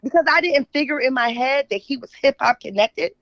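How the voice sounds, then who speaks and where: A woman's voice: high voice, brisk, gravelly, fairly bright tone, muffled recording. An American woman speaking as an interview guest, casual and opinionated, often checking in with the listener. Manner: opinionated, frequent checking tags like "right?" and "you know what I'm saying". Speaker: guest, author and journalist. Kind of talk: casual conversational interview talk.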